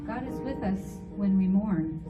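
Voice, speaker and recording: Mid-pitched woman, dull echoey recording. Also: lively intonation, unhurried. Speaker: reader in a church reader's theater. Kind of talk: scripted reader's theater performance. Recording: dull distant echoey recording, loud music behind.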